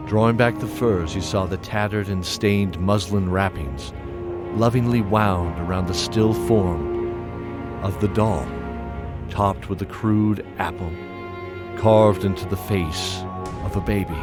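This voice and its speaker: A man giving dramatic scripted narration of a fantasy tale, measured, pausing between short phrases. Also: deep voice. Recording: loud music under the voice.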